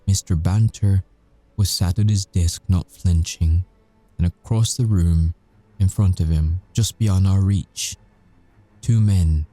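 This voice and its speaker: Guy acting as a werewolf soldier, real mellow dark low voice, wry and haunted, slow dramatic pauses, asmr roleplay. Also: soft background music. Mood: wry, measured. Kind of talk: audio roleplay monologue, ASMR.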